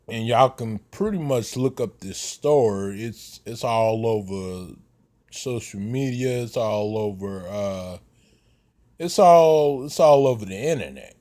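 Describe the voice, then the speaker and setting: A man, giving casual commentary in a slow, halting way with many fillers. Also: lowish voice, warm tone. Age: 30s.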